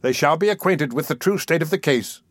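A man's voice: on the high side, expressive, a little fast, dry recording, studio quality, pitched above the performer's own voice. A man voicing a self-important, irritable parish beadle in a storybook reading, with a pompous, imperious manner. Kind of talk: audiobook character acting.

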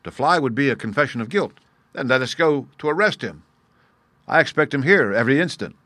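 Stern, self-assured gentleman detective, read by a male narrator for an audiobook: precise, formal, dry close sound. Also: medium pitch, lively intonation, a little fast, no room sound, voice pushed up. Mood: calmly assured. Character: masterful English detective, composed, stern and assured. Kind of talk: audiobook character dialogue.

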